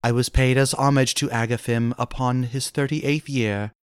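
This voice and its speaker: A man plays a young noblewoman of pulp fantasy. The voice is soft, smooth and clear, with formal archaic phrasing. The recording is dry and close. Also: mid-range voice, fairly even intonation, moderate pace, dry recording, close to an everyday voice. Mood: composed, confiding.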